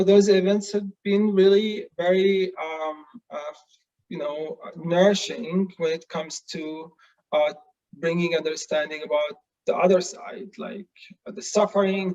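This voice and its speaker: A man's voice: fairly high pitch, creaky, distant mic, muffled recording. A man from Palestine, a nonviolent communication trainer, speaking earnestly with many hesitations and fillers. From Palestine, West Bank. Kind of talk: casual talk in an online session.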